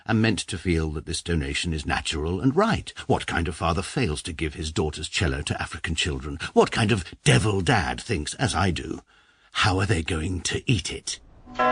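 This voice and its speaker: Stuffy lawyer in his 40s, deep and deadpan. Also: breathy edge. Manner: dry, wry, deadpan. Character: pompous, precise middle-aged barrister. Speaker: first-person narrator and lead character.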